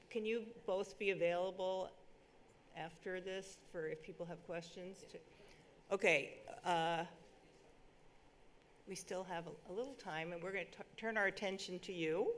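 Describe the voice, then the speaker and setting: Low-voiced woman moderator, faint noise. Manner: casual, friendly questions. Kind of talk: panel moderation.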